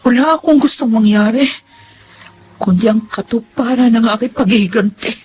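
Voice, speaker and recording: A woman's voice: on the high side, moderate pace, darkish tone, faint music behind, close to an everyday voice. An actress playing a bitter, wounded woman out for revenge in a Filipino melodrama, with anguished, emphatic delivery. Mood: grim, confessing. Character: bitter, wounded, vengeful woman betrayed in love.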